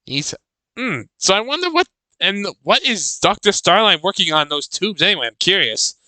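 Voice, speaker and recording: A man with a high, clean voice hosts a casual reaction video. His tone is very bright and the sound is dry and close. He thinks aloud haltingly, circling back over his own phrases. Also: big pitch swings, dry recording, low-quality recording.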